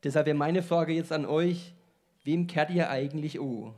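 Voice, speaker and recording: Franconian man hosting, slightly high voice. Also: normal speed, a little mellow. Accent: Franconian German. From Franconia, Germany. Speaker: event host interviewing speakers on stage. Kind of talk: light-hearted stage interview.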